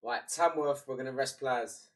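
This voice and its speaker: A man's voice: medium pitch, moderate pace. A young man with a stuffy, half-gone voice rambles matily over his football management game. He sits some way back from the mic, so he sounds a little distant. His voice sits in a middling register. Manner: chatty and matey, muttering to himself between bursts. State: congested, voice partly gone. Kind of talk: casual gaming commentary.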